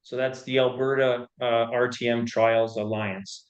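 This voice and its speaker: A man explaining his business in an interview, speaking in halting, piecemeal phrases and often repeating words. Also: medium pitch, flat delivery, muffled recording. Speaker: tech company founder and executive being interviewed.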